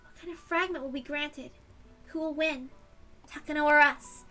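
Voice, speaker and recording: A woman's voice: high voice, fairly even intonation, normal speed, sharp tone, soft background music. A woman playing a solemn, reflective girl who muses on fate in an amateur story reading.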